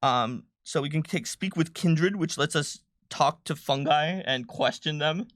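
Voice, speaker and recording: A man's voice: on the high side, unhurried, a bit bright, no room sound, clean studio sound. A man hosting a livestream in casual chat, talking playfully as he thinks aloud through building a tabletop game character.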